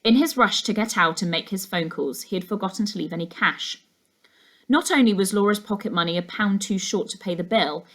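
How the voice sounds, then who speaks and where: Female, mid-pitched, expressive and measured story narration, classroom-reader feel. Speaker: teacher reading a class novel aloud.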